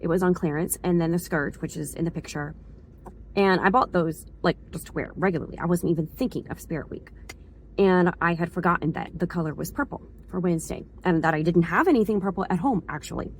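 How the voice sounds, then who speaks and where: Woman vlogger, lowish hoarse voice, chatty and meandering. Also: a little fast, a little hiss or hum. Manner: chatty and rambling, circles back to restate things. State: hoarse from a cold, slight sore throat. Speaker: vlogger, school staff member. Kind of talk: casual rambling vlog chat.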